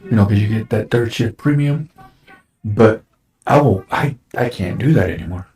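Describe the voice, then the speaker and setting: Low gravelly man in his forties, rambling. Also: expressive, moderate pace, a little mellow. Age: 40s. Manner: rambling, with many fillers and restarts. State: slightly raspy from breathing dust. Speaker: show host. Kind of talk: casual chat. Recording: roomy echo.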